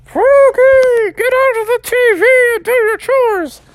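Man doing a shrill nagging mother frog. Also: very animated, unhurried, gravelly, a bit bright, exaggerated voice. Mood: hollering. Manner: shrieking, scolding.

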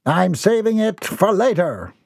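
A man's voice: high-pitched, sing-song, unhurried, creaky, darkish tone, slightly rough, no room sound, studio quality, voice pushed up, exaggerated voice. A man voicing an eccentric, rambling nephew who rants grandly in flowery, sea-obsessed speech. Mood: petulant.

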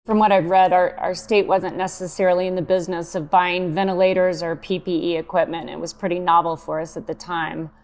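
A woman's voice: fairly low pitch, level tone, a little fast, hardly any pauses, a little mellow. A woman co-hosting a podcast, reading polished, upbeat introductions and asking interview questions. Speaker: podcast co-host.

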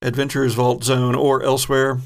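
A man's voice: medium pitch, little pitch movement, brisk. A man hosting an online tabletop game podcast, easygoing as he steers the crew's plugs before play. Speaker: game master and host.

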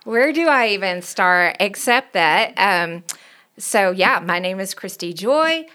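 A woman's voice: mid-range voice, expressive, slow pace, smooth. A woman in her forties from Tennessee with a Southern accent, chatting earnestly as a pastor and podcast co-host. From Knoxville, Tennessee. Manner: warm, earnest, rambling with restarts. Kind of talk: casual chat.

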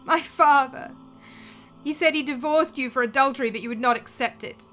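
A woman's voice: high voice, normal speed, a bit bright, soft background music, pitched above the performer's own voice. A woman voicing a grief-stricken young woman who screams and sobs furious accusations. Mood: accusing.

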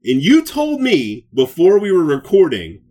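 Animated, slangy male podcast co-host. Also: high voice, unhurried. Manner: slangy, informal. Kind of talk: casual banter reviewing movies and shows.

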